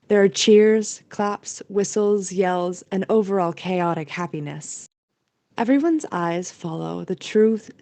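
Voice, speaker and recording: A woman's voice: fairly low pitch, mellow, no echo. A woman narrating a scripted audio drama as its storyteller.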